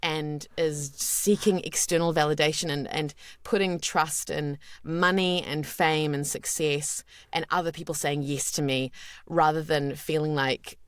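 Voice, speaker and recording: Deep-voiced woman speaking candidly. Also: steady tone, a little slow, clear, resonant tone, no room sound, clean studio sound. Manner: candid and reflective, with many fillers and restarts. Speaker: guest voice actor. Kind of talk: podcast interview.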